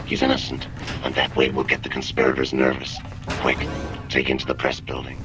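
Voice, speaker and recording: A mysterious video game robot, extremely deep and monotone. The orders come out controlled, with music behind. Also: male voice, brisk. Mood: urgent, controlled. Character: cool, mysterious robot voice giving covert orders. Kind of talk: video game character acting.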